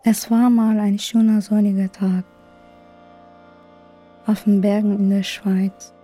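A woman's voice: mid-range voice, little pitch movement, slow, music in the background. A woman retelling a film story aloud for a radio play.

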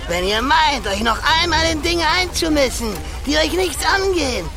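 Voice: high-pitched, animated, normal speed, music in the background, crowd noise. An actor playing a cold, menacing young gang leader in an audio drama. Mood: cold, threatening.

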